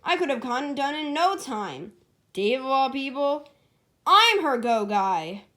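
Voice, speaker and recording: A cocky young male hedgehog from a cartoon storybook, acted out by a woman. He boasts, then stammers shyly. The voice sits fairly high and moves at an unhurried, slow pace. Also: sing-song, pushed and bright, exaggerated voice. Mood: jealous, defensive.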